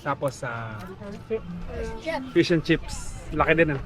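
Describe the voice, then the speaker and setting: A Filipino man with a fairly high voice films a casual travel vlog. He moves between Tagalog and English in loose, everyday chat, with busy crowd chatter around him outdoors. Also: takes it slowly, music in the background. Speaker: family travel vlogger. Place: outdoors at busy tourist spots.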